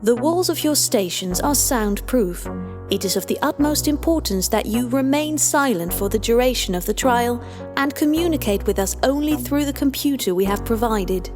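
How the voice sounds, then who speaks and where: Woman playing a high-voiced, formal female scientist. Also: expressive, natural voice. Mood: formal, composed. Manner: formal, measured, procedural. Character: businesslike female scientist, formal, procedural, composed. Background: music.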